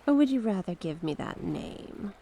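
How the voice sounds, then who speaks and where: A woman's voice: medium pitch, sing-song, moderate pace, mellow, a little hiss or hum. A woman playing a mysterious sea witch in an ASMR roleplay, coaxing and sly, speaking with genteel courtesy and terms of endearment. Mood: smooth, courteous.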